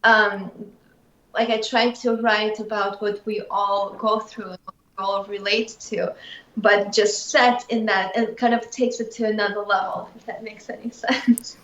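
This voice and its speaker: A woman's voice: medium pitch, measured pace. Chatty Russian-born woman, echoey dull call. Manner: animated, laughs often, many fillers. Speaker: singer and lyricist, guest. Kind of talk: casual interview.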